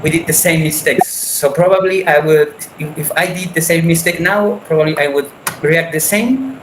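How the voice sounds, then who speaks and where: A man tells a story over a video call, stopping and restarting his sentences as he searches for words. His voice sits a little high for a man. The room behind him is echoey, with steady background noise. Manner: halting, many restarts and repeated words. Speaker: meeting participant. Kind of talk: casual conversation in English as a second language.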